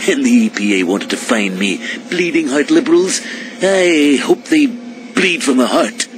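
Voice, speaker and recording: A man's voice: medium pitch, lively intonation, measured pace, soft background music, natural voice. A man voicing a frail, callous old tycoon in a cartoon, with a quaint, haughty way of talking. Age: older. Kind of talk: animated comedy voice acting.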